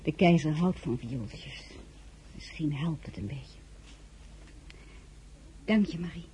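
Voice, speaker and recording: An actress playing an elegant, composed woman of high rank in a radio drama, narrating and speaking in a measured, intimate way. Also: lively intonation, mellow, slight background noise. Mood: composed, brisk. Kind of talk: radio play acting with first-person narration.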